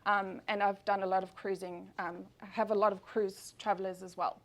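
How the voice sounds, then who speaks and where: A woman's voice: medium pitch, level tone, a little fast. A woman in her forties with a South African accent, giving an upbeat presentation at a networking meeting and saying um often. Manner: upbeat, speaking with frequent ums. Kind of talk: business presentation.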